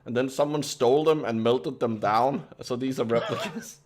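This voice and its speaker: A man from Denmark whose first language is Danish, talking casually on a group podcast, with halting speech full of "like" fillers. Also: medium pitch, crowd noise.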